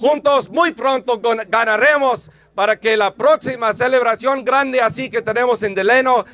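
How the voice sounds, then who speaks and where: A man, delivering a rousing union rally speech and shouting slogans to a crowd. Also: extremely high pitch, moderate pace, no echo.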